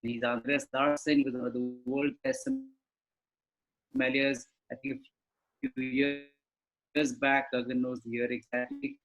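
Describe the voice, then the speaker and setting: A man's voice: mid-range voice, expressive, a little slow, pauses often, music in the background, poor audio. Man giving a webinar talk. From India. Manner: conversational, explanatory, asks rhetorical questions. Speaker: webinar panelist. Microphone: video call.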